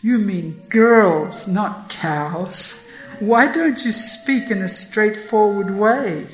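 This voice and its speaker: A woman voicing a proud, sharp-tongued young milkmaid who gives blunt, haughty retorts. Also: mid-range voice, very slow, roomy sound, faint music behind, rough recording. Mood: pointed.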